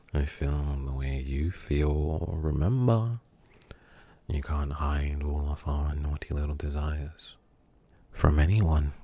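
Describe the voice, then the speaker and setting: A man playing a menacing, smug kidnapper in an ASMR roleplay, speaking slowly and teasingly and dropping into whispers. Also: extremely low pitch, mellow, breathy edge, full character voice. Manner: slow, teasing, drifting into whispers.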